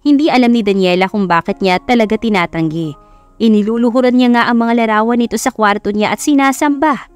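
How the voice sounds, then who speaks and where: A woman's voice: on the high side, brisk, smooth, dry recording. A woman with a Filipino accent reading a scripted romance story as a dramatic narrator. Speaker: audiobook narrator. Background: music.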